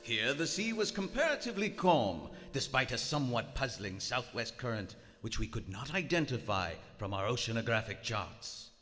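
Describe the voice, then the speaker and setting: An actor playing an arrogant, stern German submarine captain in live radio theater, with a pompous, formal, commanding manner. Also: male voice, medium pitch, very animated, normal speed, few pauses, fairly bright tone. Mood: cold, formal. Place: on stage in a large theater. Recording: echoey theater hall, music, hiss.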